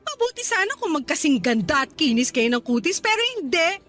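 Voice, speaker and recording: An actress playing a gossipy, catty young office friend in a radio drama, with a mocking, chatty delivery. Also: female voice, high-pitched, very animated, a little fast, a bit bright, soft background music. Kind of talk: Tagalog radio drama dialogue.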